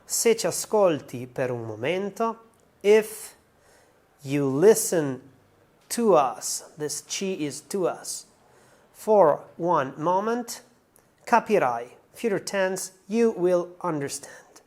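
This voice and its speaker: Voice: mid-range voice, expressive, slow pace, darkish tone. A language teacher giving an explanatory song translation lesson, going through it carefully word by word.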